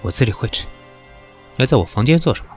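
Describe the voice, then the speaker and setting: An actor playing a proud, sarcastic senior executive who is weak from fever and answers in cold, cutting remarks. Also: male voice, medium pitch, sing-song, fast, darkish tone, husky, soft background music. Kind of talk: radio drama acting.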